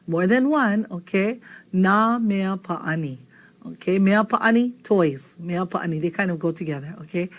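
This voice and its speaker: A woman runs a relaxed video lesson on words, speaking at an ordinary pitch. Her delivery is chatty and encouraging, and she tags almost every phrase with a quick check-in question. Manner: chatty, checks in with "okay?" constantly. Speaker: Hawaiian language teacher. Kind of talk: casual video language lesson.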